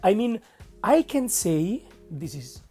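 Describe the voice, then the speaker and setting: A man with an Italian accent narrating a painting tutorial, speaking haltingly and searching for words, with frequent checks of "okay?". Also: high voice, very animated, warm tone, husky, faint music behind. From Italy. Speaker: miniature painting instructor.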